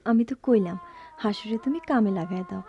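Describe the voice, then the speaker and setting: An actress playing a caring, self-blaming young daughter in a radio drama, speaking colloquial rural Bangladeshi Bengali. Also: female voice, medium pitch, fairly quick, dark tone, dull audio, close to an everyday voice. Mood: plaintive.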